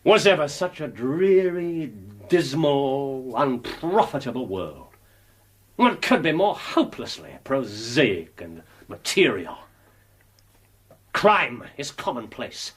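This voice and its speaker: Haughty, theatrical English detective, lively pitch. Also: male voice, takes it slowly, slightly rough, full character voice. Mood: bored, world-weary. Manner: theatrical, precise, pedantic, with murmured asides. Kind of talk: radio drama acting.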